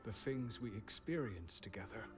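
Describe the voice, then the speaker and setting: An actor playing a reflective, wistful aging man in a video game, speaking in a measured way. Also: on the low side, animated, music in the background, lightly acted. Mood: hollow, resigned. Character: wistful aging man who lost his memories of love.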